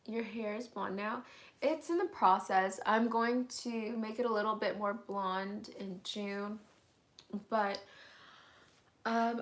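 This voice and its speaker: A young woman, hoarse and getting over an illness, chatting candidly through a live Q&A at home, answering viewer comments with lots of "um"s and "like"s. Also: on the high side. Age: young adult. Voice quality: raspy. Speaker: vlogger hosting a live Q&A. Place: at home, indoors.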